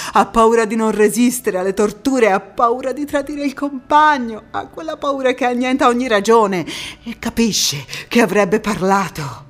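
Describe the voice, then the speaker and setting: An actress playing a young Italian partisan woman who recalls the war in an intense, deliberate theatrical monologue. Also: mid-range voice, lightly acted. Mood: anguished. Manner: intense, deliberate, with dramatic pauses and repetitions. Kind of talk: dramatic theatrical monologue narration.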